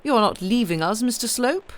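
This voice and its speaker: A woman's voice: on the high side, expressive, brisk, voice pushed up, close to an everyday voice. Imperious matron of a grand house, played by a female narrator, formal, indignant, commanding; novel reading.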